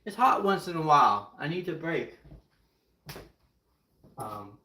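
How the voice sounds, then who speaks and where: A man's voice: on the high side, sing-song, slow pace, sharp tone. A young man whose first language is Ukrainian/Russian, chatting casually with viewers as he cooks on stream, rambling between tasks. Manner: rambling and chatty, answering chat mid-task. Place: home kitchen. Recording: echoey kitchen with faint noise.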